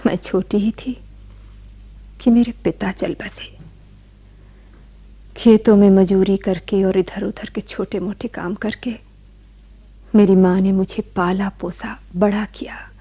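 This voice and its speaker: An actress playing a gentle, bashful young village-born wife in a radio drama, with soft, emotive delivery. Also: female voice, medium pitch, steady tone, slow pace, long pauses, slightly rough. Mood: sorrowful, reflective. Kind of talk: Hindi radio drama acting.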